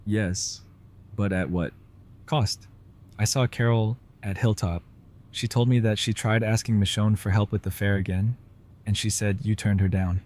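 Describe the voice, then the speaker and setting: Male actor as idealistic councilman, low-pitched, earnest, persuasive, audio drama. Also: fairly even intonation, unhurried, darkish tone, natural voice. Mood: urgent, persuasive. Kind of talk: full-cast audio drama acting.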